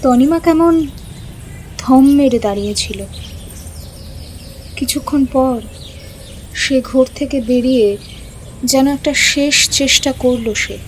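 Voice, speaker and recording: A woman's voice: sits high, takes it slowly, pauses often, slight background noise. A woman narrating a scripted audio story. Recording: music under the voice.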